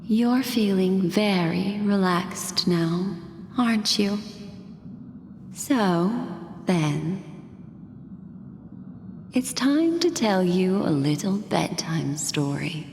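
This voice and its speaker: An actress playing a calm, mysterious storyteller in a game cutscene, speaking soothingly and deliberately, straight to the listener. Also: female voice, mid-range voice, slight background noise. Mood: soothing, dreamy. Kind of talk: scripted game cutscene narration. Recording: echoey reverb with music behind. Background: music.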